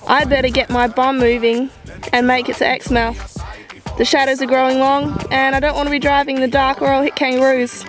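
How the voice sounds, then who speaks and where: A woman's voice: high-pitched, little pitch movement, a little fast, faint music behind. A young Australian woman giving casual, enthusiastic wildlife commentary in a gushing, chatty way with informal slang. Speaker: wildlife vlogger.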